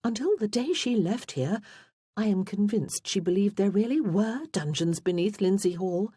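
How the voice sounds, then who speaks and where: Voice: mid-range voice, animated, moderate pace, mellow, husky, no room sound, studio quality, voice pushed up. A narrator voicing a self-assured, spirited young noblewoman, wry and genteel, in a period romance audiobook. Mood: wry, assertive.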